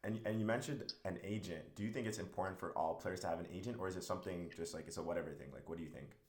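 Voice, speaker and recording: Casting: male interviewer, low voice, quick delivery, brisk follow-ups, echoey room. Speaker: interviewer host. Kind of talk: interview questions. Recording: roomy echo.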